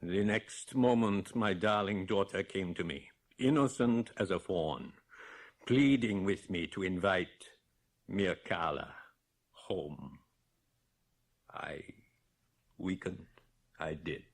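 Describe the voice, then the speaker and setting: Grave, slow-spoken grieving old general. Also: male voice, low-pitched, exaggerated voice. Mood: mournful narrating. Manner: slow, halting, solemn. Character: grieving, vengeful old general recounting his daughter's death. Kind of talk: 1980s radio drama acting.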